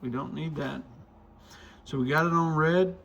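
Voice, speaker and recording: A man with a slightly higher-than-average voice talks through a gadget demo in an informal, unscripted way. He thinks aloud as he goes, like a reviewer trying things out. There is faint noise and ambience behind him. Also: normal speed, vocal fry, fairly bright tone, highs cut off. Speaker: radio shop reviewer and video host. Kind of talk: casual hands-on product demo.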